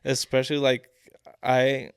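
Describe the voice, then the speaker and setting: A quiet, reserved man talks in an even, unhurried way, leaning on fillers. His voice is smooth and clean. The sound is dry and close. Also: no room sound. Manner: quiet, low-key, even delivery with many fillers. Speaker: podcast guest. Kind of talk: casual chat.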